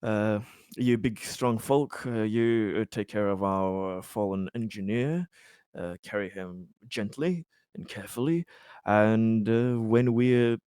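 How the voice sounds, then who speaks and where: A man playing a tabletop roleplaying game, improvising in character in halting, hesitant phrases. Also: fairly low pitch, darkish tone, clear, resonant tone, no echo, clean studio sound.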